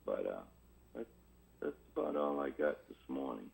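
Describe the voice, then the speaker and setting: A man chatting casually as a radio caller, hoarse and froggy, hesitant and rambling. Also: a bass voice, huge pitch swings, moderate pace, a little mellow. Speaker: radio call-in listener. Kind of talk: casual call-in chat.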